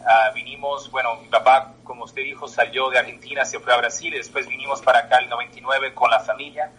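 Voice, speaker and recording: A man in his twenties whose first language is Portuguese, answering interview questions in halting speech with many pauses. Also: mid-range voice, steady tone, bright, forceful tone, slightly rough, poor audio. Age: 20s. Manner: halting, with many filler sounds. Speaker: political candidate. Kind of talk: interview by phone.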